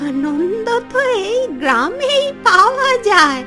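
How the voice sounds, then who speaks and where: A woman voicing a stubborn, nostalgic, kind-hearted elderly village grandmother in a cartoon, speaking in a slow, plaintive, drawn-out way. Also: very high voice, sing-song, pushed and bright, soft background music, full character voice. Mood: wistful. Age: older. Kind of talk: cartoon story dialogue.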